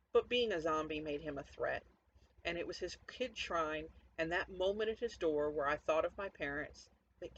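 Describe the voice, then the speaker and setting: Story narrator, mid-pitched voice, wry and conversational first-person delivery, dull recording with faint noise. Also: fairly bright tone. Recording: dull lo-fi sound, background noise.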